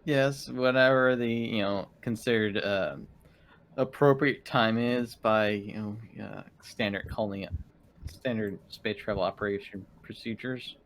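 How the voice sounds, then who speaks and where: A fairly low-pitched man talks casually at a game table. He plays along as one of the players, with a halting delivery that often trails off. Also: fairly even intonation, a little hiss or hum, poor audio.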